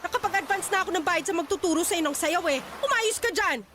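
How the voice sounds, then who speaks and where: A woman's voice: extremely high pitch, animated, fast, heavy background noise. A woman voicing a pushy, domineering middle-aged stage mother who scolds and brushes off objections, in an audio drama. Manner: bossy, scolding, dismissive.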